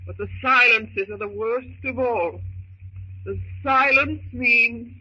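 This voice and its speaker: A woman's voice: a little high, slow pace, sharp tone, slightly rough, full character voice. A woman playing a frightened young wife stranded in the jungle, with breathless, melodramatic dread in a 1930s radio style. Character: frightened English wife stranded in the jungle, anxious, brave-faced. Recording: muffled, hissy 1930s radio recording.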